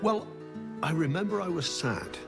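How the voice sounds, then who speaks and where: A befuddled, contented gentleman from a fantasy game. His voice swoops with wonder and crackles at the edges. He rambles wistfully, losing his thread, with music playing behind him. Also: fairly high pitch, animated, normal speed, gravelly. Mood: confused, wistful. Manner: wistful, rambling, absent-minded. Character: confused, cheerful well-to-do man with erased memories. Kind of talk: video game character dialogue.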